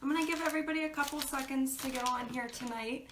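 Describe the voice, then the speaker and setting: A woman's voice: high voice, steady tone, quick pace. Chatty woman in an echoey room. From Pennsylvania, US. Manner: chatty, rambling with fillers and asides. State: losing her voice. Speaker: craft video host. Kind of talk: casual live craft tutorial. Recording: echoey room with background noise.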